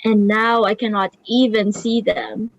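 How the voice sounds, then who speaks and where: A woman playing a tired, aging ant who guides the young, in a slow, reflective dramatic reading. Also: fairly high pitch, flat delivery, gravelly, lo-fi sound. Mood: weary, troubled. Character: weary aging female ant, slowing down, guiding the young. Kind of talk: dramatic reading of a stage play.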